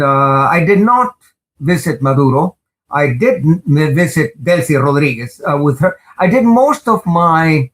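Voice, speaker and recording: An older man whose first language is Spanish, a lawyer answering interview questions in a measured way, full of "uh" pauses and pointed emphasis. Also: mid-range voice, a bit bright, rough recording. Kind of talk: interview answers, recounting experiences.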